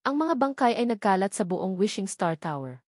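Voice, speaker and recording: A woman reads a novel aloud as a scripted narrator, formally and in measured, separate phrases. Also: medium pitch, sing-song, darkish tone, no room sound, clean studio sound. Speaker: audiobook narrator.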